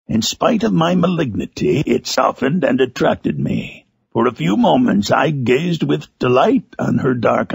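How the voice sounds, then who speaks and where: A menacing outcast creature telling his dark tale like a gothic storybook. The voice is deeply gravelly and husky, with huge swings in pitch. He speaks slowly and theatrically, brooding and bitter. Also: no echo, full character voice. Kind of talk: dramatic first-person literary monologue.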